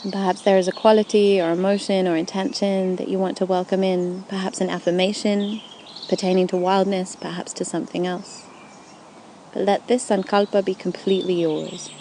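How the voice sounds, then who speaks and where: A woman guiding a yoga nidra meditation in a soothing, unhurried way with gentle, deliberate phrasing, recorded outdoors. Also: mid-range voice, little pitch movement, a little hiss or hum. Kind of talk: guided meditation. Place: outdoors in a forest at dusk. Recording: outdoor recording with faint birdsong. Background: birdsong and forest ambience.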